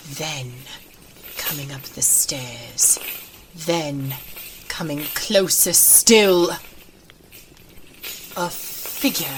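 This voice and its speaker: A woman narrating a classic ghost story as a dramatic audiobook, theatrical and suspenseful, with a tendency toward hushed, breathy delivery. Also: rumbling low, slight background noise, poor audio. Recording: loud music under the voice. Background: music.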